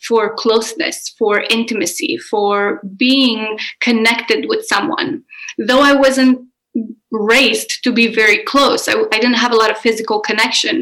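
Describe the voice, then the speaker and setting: A woman's voice: on the high side, fairly bright tone, poor audio. A woman from Romania with a Romanian accent, a relationship coach speaking openly and earnestly about her own experiences. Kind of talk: candid personal interview answers.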